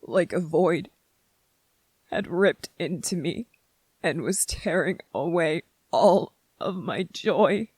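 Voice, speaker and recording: A performer voicing a gentle, grieving young male soldier in an intimate ASMR roleplay, speaking haltingly and emotionally, close to tears. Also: mid-range voice, slightly rough, no room sound. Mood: grieving, aching. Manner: halting, emotional, earnest, short broken phrases.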